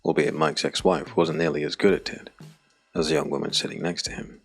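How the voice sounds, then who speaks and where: A man narrating a scripted dark fantasy audiobook with a deep, gravelly voice. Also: level tone, a little fast, soft background music.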